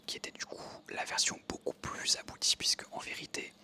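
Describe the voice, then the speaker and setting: A young adult ASMR creator, telling a story in a soft unvoiced whisper that meanders with many fillers. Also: brisk.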